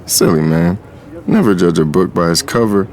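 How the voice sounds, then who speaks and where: Actor playing young male hustler, lowish voice, cocky, sly, casual, streetwise, audio drama narration. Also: moderate pace, a little mellow, close to an everyday voice. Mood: smug, amused. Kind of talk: first-person dramatized story narration.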